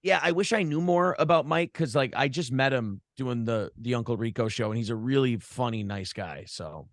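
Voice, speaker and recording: A man's voice: medium pitch, moderate pace, dry recording, clean studio sound. A man being interviewed in a casual conversation, telling stories in a joking, profane way. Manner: animated storytelling, profane, joking. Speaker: interview guest, broadcaster.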